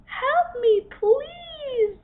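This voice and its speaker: A tiny, timid girl hermit crab from a children's storybook, voiced by a woman reader. The voice is very high and small, exclaiming with big swoops of pitch. The recording is a little dull with faint noise. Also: animated, very slow, heavily acted. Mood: desperate pleading. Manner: pleading, exclaiming.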